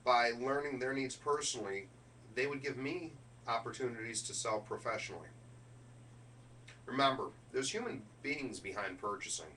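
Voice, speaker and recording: A man delivering a motivational business keynote speech in an emphatic, deliberate way, punching key words as a speaker. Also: medium pitch, bright, forceful tone. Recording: distant microphone with faint noise and hum.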